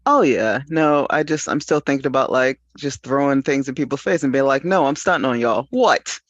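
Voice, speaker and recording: A man's voice: mid-range voice, normal speed, no room sound. Male panelist, mid-range, casual and reflective, leans on repetition; muffled, very dry sound. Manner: emphatic repetition of phrases. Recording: dull, lo-fi, dry audio.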